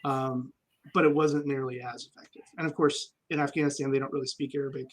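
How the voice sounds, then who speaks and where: A man's voice: medium pitch, level tone, fast talker. A man, an army veteran guest, telling stories candidly and reflectively in a casual interview, with frequent ums.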